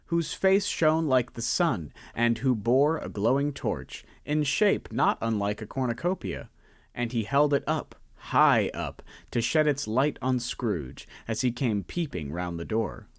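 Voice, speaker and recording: A man narrates a radio play with a lively, swooping delivery, lingering on each item of a list. The recording is dry and close, with no room sound. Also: mid-range voice, dry recording. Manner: savoring, slowed lists. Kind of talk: scripted story narration.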